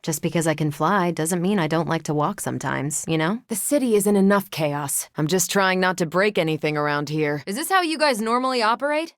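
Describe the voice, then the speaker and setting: An actress voices a female video game superhero with a fairly low voice and a confident, combative streak. She talks in a wry, breezy, assured way. The recording is dry and close, with no room sound. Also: no echo, lightly acted.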